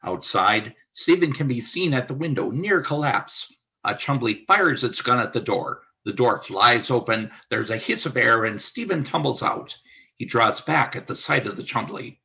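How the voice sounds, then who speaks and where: A man's voice: mid-range voice, expressive, moderate pace, gravelly, pushed and bright. A man reading scripted narration for a radio play as its narrator.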